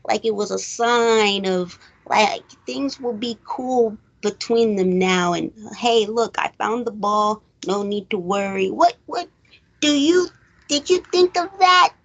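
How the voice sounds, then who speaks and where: A woman hosting a recap show, chatting casually about a film in an animated way, throwing out rhetorical questions. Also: mid-range voice, takes it slowly, creaky, muffled recording. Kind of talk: casual chat movie review.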